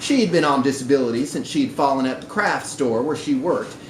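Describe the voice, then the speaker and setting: A man's voice: mid-range voice, expressive, brisk. A man reading a short story aloud expressively at a live event, indoors in a bar. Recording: roomy, noisy live bar recording.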